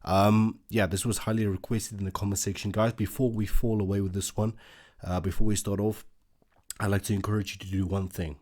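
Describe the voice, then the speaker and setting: A man's voice: lowish voice, level tone, brisk, darkish tone. A man, an Afrikaans speaker, hosting a casual reaction video and thinking aloud with lots of fillers.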